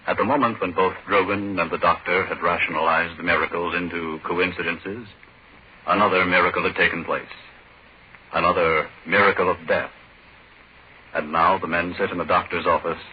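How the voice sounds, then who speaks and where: A man delivering scripted announcements and narration in 1940s radio style. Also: sits low. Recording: muffled, hissy 1940s radio recording.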